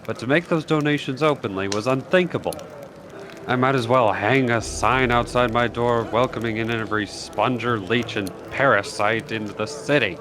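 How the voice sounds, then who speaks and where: A man's voice: medium pitch, normal speed, noisy background, natural voice. A man voicing a proud, hard-nosed wealthy merchant in a video game, speaking in a formal, indignant manner. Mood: haughty, indignant. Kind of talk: reading video game dialogue aloud. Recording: loud music under the voice.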